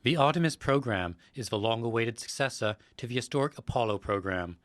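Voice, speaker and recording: A male reporter with a mid-range voice. He narrates his news package in a measured, scripted tone. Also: medium pitch, lively intonation, a bit bright.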